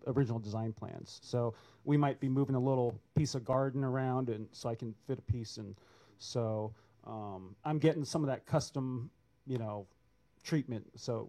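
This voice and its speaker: A man on an artists' panel, speaking haltingly with many restarts. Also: mid-range voice, dark tone. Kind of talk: panel discussion answer.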